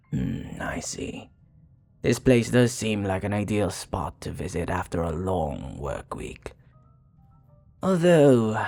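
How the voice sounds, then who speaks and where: A man acts out a seductive vampire bartender in an intimate ASMR roleplay. His voice is low and breathy, and he flatters at a slow, teasing pace with small hesitations. Soft music plays behind him. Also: exaggerated voice. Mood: casual, curious. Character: flirty, charming male vampire bartender, smooth teasing flatterer.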